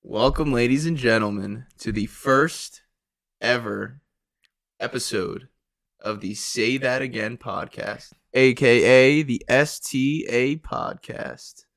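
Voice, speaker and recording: A man's voice: medium pitch, takes it slowly, vocal fry, a bit bright. A man with an American accent hosting a podcast and interviewing in a casual, chatty way.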